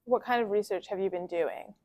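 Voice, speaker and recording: A woman's voice: medium pitch, a little fast, a little mellow. A woman interviews a student athlete, her voice plain and conversational. She asks encouraging follow-up questions and repeats his answers back to him.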